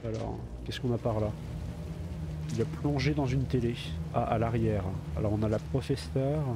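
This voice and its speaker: A man's voice: medium pitch, steady tone, normal speed, very noisy. A man with a slight cold, chatting casually to himself while playing a video game. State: a bit of a cold. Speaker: let's play gamer. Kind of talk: casual gameplay commentary.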